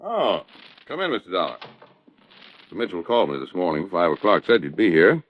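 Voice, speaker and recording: Low, lively-pitched radio insurance official. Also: male voice, a little fast, slight background noise. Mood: businesslike. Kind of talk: 1940s-50s radio drama acting. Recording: dull vintage radio recording.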